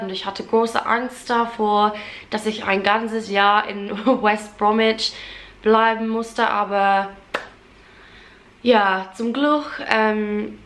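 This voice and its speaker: Slow-talking young Englishwoman speaking learner's German. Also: medium pitch, fairly bright tone. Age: young adult. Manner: chatty, self-deprecating, many fillers and restarts. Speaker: vlogger. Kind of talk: casual Q&A chat in German as a learner. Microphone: camera at close range.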